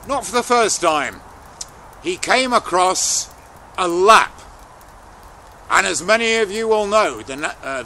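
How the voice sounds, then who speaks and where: A man telling a folktale aloud in a dramatic, deliberate way, with pauses between phrases. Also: a little high, vocal fry, sharp tone, a little hiss or hum. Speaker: storyteller. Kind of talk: oral folktale storytelling, unscripted.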